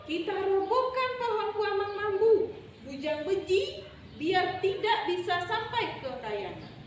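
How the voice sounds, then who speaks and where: Excitable little storybook animals, put on by a woman, pipe up in a very high, eager voice. They exclaim like a plotting troop, in a heavily echoing space with clear background noise. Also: takes it slowly, distant mic, exaggerated voice. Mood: eager, excited.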